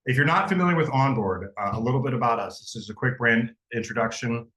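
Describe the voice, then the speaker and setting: A man's voice: medium pitch, fast, a bit bright. A man hosting a webinar, introducing a guest in a casual way with frequent fillers. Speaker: webinar host. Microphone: video call.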